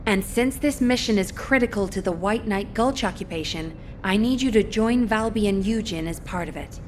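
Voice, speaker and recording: An actress playing a composed, businesslike operations officer in a video game, giving orders in a firm, formal, deliberate way. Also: female voice, medium pitch, a little hiss or hum, lightly acted. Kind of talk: scripted video game dialogue.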